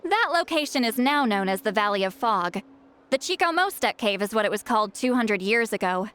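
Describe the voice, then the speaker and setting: A woman's voice: fairly high pitch, very animated, brisk, a bit bright, smooth. A woman playing a proud, prickly, reclusive shaman in a video game, youthful-sounding, brusque and easily flustered. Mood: surprised, pensive.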